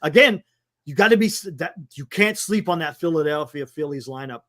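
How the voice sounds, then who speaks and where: A man with an American accent and a notably high voice talks sports casually. His tone is bright and forceful, recorded close and dry. He speaks bluntly and colloquially, as a co-host would. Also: expressive, brisk, no echo. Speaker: sports talk show co-host.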